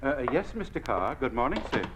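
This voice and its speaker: Man playing an anxious union leader, very varied pitch. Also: big pitch swings, a little fast, background noise, lightly acted. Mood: nervous, deferential. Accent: American. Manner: hesitant, flustered, earnest. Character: anxious, deferential older union chairman, a father. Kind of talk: 1950s radio drama acting. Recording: dull, lo-fi 1950s radio recording.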